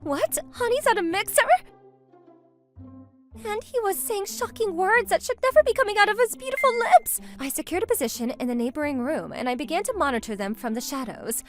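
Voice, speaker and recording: A woman's voice: very high-pitched, very animated, brisk. A woman voicing a possessive, passionate young woman in a manga dub, gushing and melodramatic as she narrates. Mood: shocked, protective. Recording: music under the voice.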